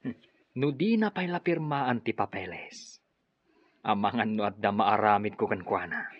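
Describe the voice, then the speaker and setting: A man's voice: on the low side, lively intonation, moderate pace, dry recording. A greedy schemer in an Ilocano radio drama. His mid-range voice swings up and down with menacing emphasis. The sound is dull and dry. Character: scheming businessman relative, forceful and greedy.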